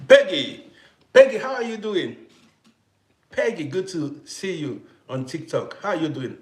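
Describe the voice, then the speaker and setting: A man's voice: a little high, very animated, measured pace, echoey room. A middle-aged man from Congo hosting a casual live stream, talking emphatically, repeating himself and greeting viewers by name. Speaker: TikTok live host.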